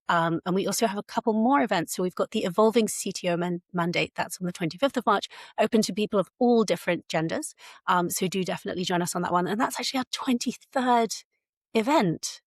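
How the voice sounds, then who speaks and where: Woman, podcast co-host, upbeat and friendly, lowish voice, dry close mic. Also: no echo. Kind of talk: casual podcast chat.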